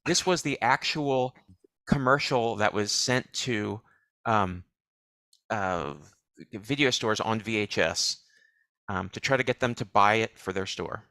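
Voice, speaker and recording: A man co-hosting a live show, giving wry, deadpan commentary and setting up clips. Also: lowish voice, unhurried, dry recording. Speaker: co-host. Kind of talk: casual chat.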